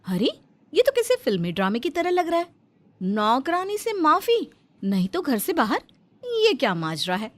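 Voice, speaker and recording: A woman's voice: high voice, wildly swooping pitch, normal speed, voice pushed up. A woman voicing a young daughter-in-law in a family story, dutiful but outspoken, protesting as she speaks. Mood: disbelieving. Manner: pleading, protesting.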